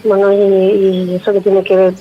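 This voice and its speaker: A woman's voice: medium pitch, monotone, normal speed. A woman with an accent from Spain, talking in a phone conversation as the recipient of a call. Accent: Spanish, from Spain. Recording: phone call with music behind.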